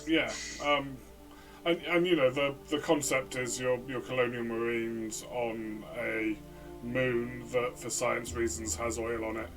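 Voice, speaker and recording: A man's voice: medium pitch, little pitch movement, a little slow, over music. Man talking from far off the mic. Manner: hesitant, lots of fillers and restarts. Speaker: podcast co-host, player. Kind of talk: casual review chat.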